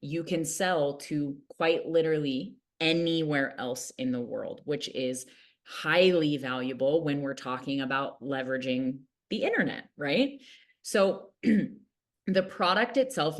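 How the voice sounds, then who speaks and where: A woman in her late thirties with a notably low voice talks through a product pitch. She sounds upbeat and earnest, and her delivery is loose and conversational, with casual fillers scattered through her sentences. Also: a little slow. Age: late 30s. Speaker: product distributor and mentor.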